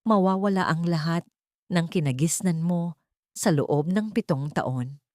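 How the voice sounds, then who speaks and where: A woman voicing a serious man, a loyal confidant who speaks gravely and earnestly in a dramatic story. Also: fairly low pitch, a little slow, a little mellow, dry recording, clean studio sound, close to an everyday voice. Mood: grave concern.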